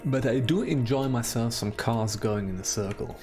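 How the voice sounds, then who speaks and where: A man with a German accent chats his way through a gameplay session at a middle pitch. He rambles loosely from topic to topic, laughs at himself, and often restarts his sentences. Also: moderate pace, over music. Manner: rambling, chatty, self-deprecating, with frequent laughs and restarts. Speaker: let's play host. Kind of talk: casual gameplay commentary.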